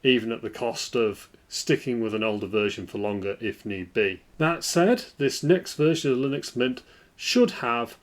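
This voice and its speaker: Lively man explaining software. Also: mid-range voice, moderate pace. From United Kingdom. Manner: relaxed explanatory, lively intonation. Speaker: tech video host. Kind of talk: screen-recorded software walkthrough.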